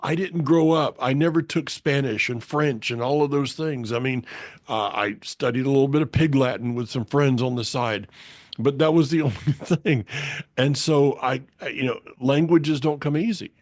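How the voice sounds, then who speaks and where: A man's voice: medium pitch, normal speed, dry recording, clean studio sound. A man with a Southern US accent hosting a casual faith conversation, folksy and meandering, with lots of restarts. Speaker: podcast host.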